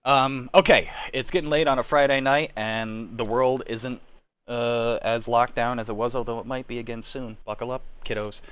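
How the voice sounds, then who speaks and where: A man's voice: mid-range voice, fairly even intonation, moderate pace, a bit bright, clear, resonant tone, no room sound. A man chatting casually on a livestream as host, enthusiastic and opinionated, with some casual swearing. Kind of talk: casual chat about superhero movies. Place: remote group livestream.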